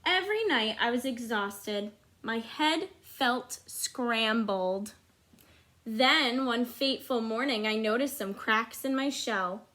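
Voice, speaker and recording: Storybook narrator role: dutiful, put-upon good egg played by a woman, bright, slow, earnest and dramatic. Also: a little high. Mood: weary. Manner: earnest, dramatic, drawn-out emphasis. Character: dutiful, overburdened male good egg telling his story.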